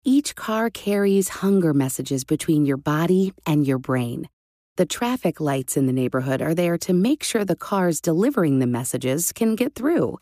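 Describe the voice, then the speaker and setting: A woman voicing a patient, caring mother in a storybook, explaining things in a calm, reassuring way. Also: sits low, moderate pace, dry recording, studio quality.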